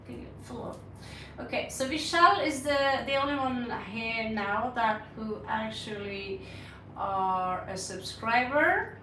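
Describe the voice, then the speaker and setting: A woman's voice: a little high, pushed and bright. Norwegian accent lady doing a tarot stream, blunt and sarcastic, kinda far from the mic, roomy and noisy. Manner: blunt, scolding, sarcastic asides to chat. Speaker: tarot reader livestream host. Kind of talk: casual live-stream chat answering viewers. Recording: echoey room, mic set back, audible noise.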